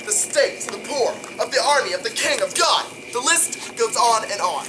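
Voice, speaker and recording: Man acting as grandiose game tycoon, contemptuous, defiant, emphatic orator, deliberate phrasing, clearly noisy recording. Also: very high voice, expressive. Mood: scornful, indignant. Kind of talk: dramatic video game monologue.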